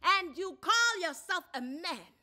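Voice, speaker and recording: A woman's voice: very high-pitched, lively intonation, slow, pushed and bright, pitched above the performer's own voice, full character voice. A woman with a South African accent playing a furious, scornful estranged wife in a story reading. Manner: scolding, declamatory.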